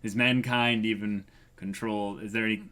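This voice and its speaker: A man co-hosting a manga read-along, reading aloud and reacting casually with goofy, excitable exclamations. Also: lowish voice, moderate pace, crowd noise.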